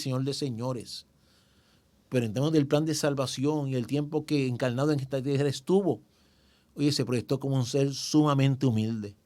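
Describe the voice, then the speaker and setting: A man's voice: a little high, a little mellow. A male pastor teaching a Bible study in a didactic, conversational way, speaking directly to his listeners.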